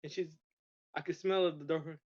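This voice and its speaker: A man's voice: fairly high pitch, steady tone, normal speed. A young man from Russia telling stories as a podcast guest, rambling with frequent restarts and stammers. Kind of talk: casual storytelling. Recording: dull lo-fi sound.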